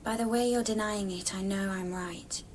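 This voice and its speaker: An actress playing a wistful, uncertain woman in an intimate radio drama, her speech soft and halting. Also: medium pitch, steady tone, slight background noise, natural voice. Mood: wistful doubt.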